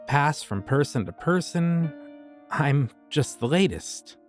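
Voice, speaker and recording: A man voicing a friendly but creepy young occult shopkeeper in an audio roleplay, talking in a casual, easygoing way about dark rituals. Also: on the high side, animated, takes it slowly, soft background music. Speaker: voice actor.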